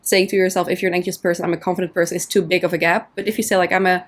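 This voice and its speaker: A woman's voice: lowish voice, little pitch movement, rapid-fire. A woman from the Netherlands speaks as a coaching guest on a podcast. She explains ideas patiently and casually. She slips into short acted-out inner voices to make her examples vivid. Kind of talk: casual interview conversation.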